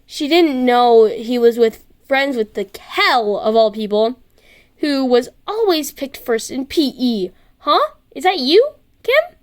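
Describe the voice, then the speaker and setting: Story narrator: woman, high voice, amateur reading of scripted fiction. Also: expressive, slow pace.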